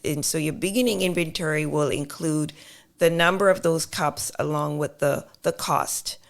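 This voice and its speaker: Low, gravelly woman explaining accounting. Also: moderate pace. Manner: patient, explains with step-by-step examples, many fillers. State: slightly hoarse. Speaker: accountant guest.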